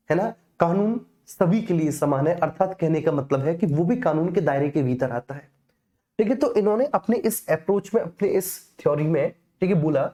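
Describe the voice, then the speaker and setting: Mellow-voiced Indian woman teaching a class. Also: on the low side. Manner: repeats key phrases for emphasis; addresses students familiarly. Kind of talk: explanatory exam-coaching lecture.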